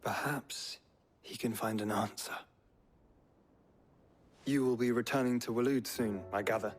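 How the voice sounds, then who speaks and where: An actor playing a gentle, scholarly young nobleman in a video game, with a British accent and polite, formal speech. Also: mid-range voice, fairly even intonation, measured pace, natural voice. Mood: calm courtesy. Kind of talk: video game cutscene acting.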